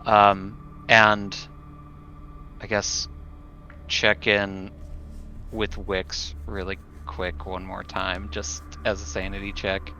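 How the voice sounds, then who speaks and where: A man's voice: on the low side, completely flat delivery, takes it slowly, pauses often, sharp tone. A man playing a tabletop roleplaying game, talking casually in a tentative, hedging way. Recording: dull, faintly noisy room recording.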